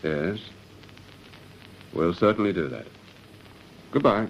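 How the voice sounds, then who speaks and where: An actor playing a polite, dutiful junior police officer in a radio drama. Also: male voice, low-pitched, animated, takes it slowly, gravelly, exaggerated voice. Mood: polite, reassuring. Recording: muffled old radio recording, faint noise.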